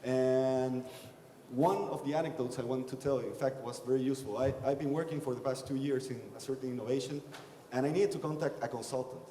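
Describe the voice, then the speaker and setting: Young Chilean man, mellow, hesitant panelist, roomy hall. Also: mid-range voice, fairly even intonation, fairly quick, a little hiss or hum. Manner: hesitant, many fillers, self-described timid. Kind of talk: conference panel talk, storytelling. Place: large conference hall. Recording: echoey conference hall, long reverb.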